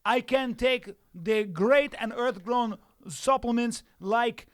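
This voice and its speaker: A man's voice: very high-pitched, slow. A man voicing a brash, hot-tempered Brazilian jiu-jitsu fighter in a comic ad read, boastful, repetitive and foul-mouthed, with a put-on Brazilian Portuguese accent. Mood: proud, insistent.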